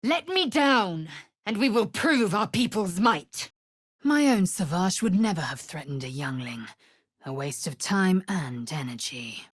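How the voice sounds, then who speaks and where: A woman's voice: sits low, husky, no room sound, clean studio sound, heavily acted. An actress playing a fierce, proud young alien warrior woman in a fantasy video game, speaking in an imperious, clipped, scornful way with formal phrasing. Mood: scornful, impatient.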